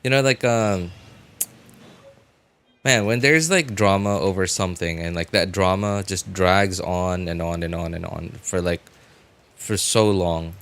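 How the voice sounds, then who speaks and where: A man's voice: low voice, steady tone, measured pace, fairly bright tone. A man chatting casually as a game streamer, thinking aloud in a rambling, repetitive way. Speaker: game livestreamer. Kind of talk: casual livestream chat.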